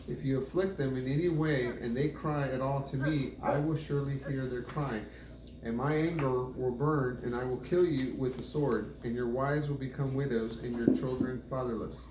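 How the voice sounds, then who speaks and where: A man reading scripture aloud in a plain, measured way, now and then stumbling over a phrase. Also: mid-range voice. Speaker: scripture reader. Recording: roomy echo, faint background noise.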